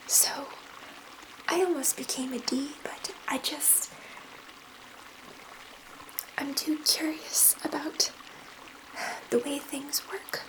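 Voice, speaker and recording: A gentle, excitable alien researcher, played by a woman, speaks in a breathy, high whisper. Her delivery is slow and hesitant, made for an ASMR roleplay. She sounds curious and proud as she reassures one listener up close. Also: poor audio. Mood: fond, curious.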